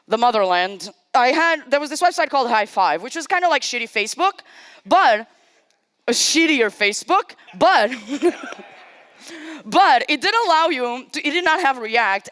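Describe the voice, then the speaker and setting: A woman's voice: sits high, fairly bright tone, smooth, no echo. A young woman from Portugal, giving a casual, self-deprecating live-coding talk on a conference stage. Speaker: conference speaker.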